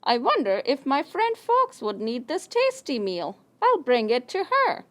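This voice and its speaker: A woman acting out a cheerful, kind-hearted female animal in a children's puppet show, in an expressive singsong way. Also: sits high, unhurried, heavily acted. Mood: surprised, caring. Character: cheerful, generous female dog and kindly fox.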